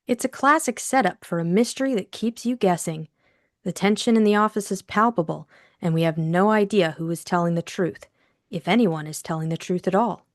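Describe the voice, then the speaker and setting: A woman with a fairly low voice narrates from a script, as for an audiobook. The sound is dry, with little room around it. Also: a little fast, no echo. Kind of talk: scripted introduction and novel narration.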